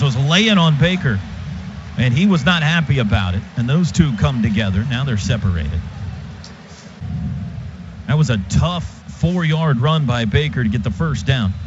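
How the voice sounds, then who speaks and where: A man doing live radio play-by-play of a football game in short, clipped present-tense phrases. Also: on the high side. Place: stadium radio booth. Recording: dull lo-fi radio broadcast, stadium noise. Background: clear stadium noise.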